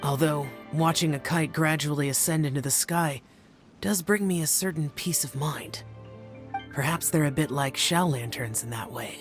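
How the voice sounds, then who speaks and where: A man's voice: a little high, lightly acted. A man voicing a stoic, reserved young guardian spirit in a video game. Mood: reserved, calm. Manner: reserved, measured. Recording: music behind.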